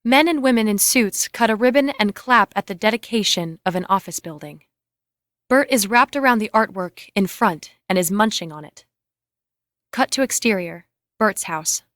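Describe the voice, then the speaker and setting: A woman's voice: medium pitch, expressive, normal speed, fairly bright tone, no echo, clean studio sound. A woman reading out the scene directions of a screenplay as scripted narration. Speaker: reader of stage directions.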